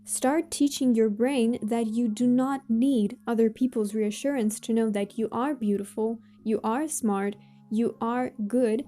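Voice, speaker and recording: A woman with a Russian accent, a native Russian speaker, gives motivational advice straight to viewers in measured, deliberate phrases with emphatic pauses. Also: fairly high pitch, warm tone, faint music behind. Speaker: host of a self-improvement channel.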